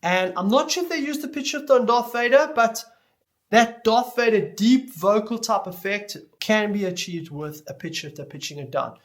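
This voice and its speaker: A man giving an explanatory tutorial lecture as an instructor, speaking deliberately and stressing key words as he goes. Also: high voice, lively intonation, a bit bright. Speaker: music production instructor.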